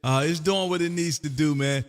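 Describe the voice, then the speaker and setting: A man's voice: fairly high pitch, normal speed. A man hosting a casual ham radio chat, talking in an exuberant, folksy, chatty way. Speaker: amateur radio livestream host and operator. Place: radio room at home, livestreamed. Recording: voice with long hall reverb.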